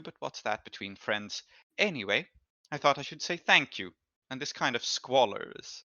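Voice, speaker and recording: Man playing a condescending, wealthy noblewoman, bright-voiced. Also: mid-range voice, no room sound, highs cut off. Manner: condescending, genteel, calls people darling. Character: wealthy, imperious, velvet-mannered noblewoman, possessive and disdainful.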